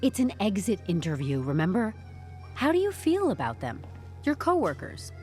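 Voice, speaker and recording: An actress playing a composed, stubborn HR newcomer in an audio drama, speaking with deadpan corporate politeness and wry candour. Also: female voice, mid-range voice, normal speed, soft background music. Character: composed, stubborn young HR newcomer turning interrogation into interview.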